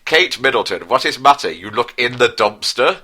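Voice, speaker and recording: A man's voice: mid-range voice, expressive, normal speed, bright, forceful tone, pitched above the performer's own voice. A man voicing a princess's caring, concerned sister in a parody, with earnest, stilted delivery.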